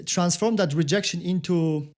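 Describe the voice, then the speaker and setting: Higher-pitched Polish-accented man, halting delivery. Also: a little mellow. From Poland. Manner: halting, repeats and restarts words often. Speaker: podcast host. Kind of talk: casual interview chat.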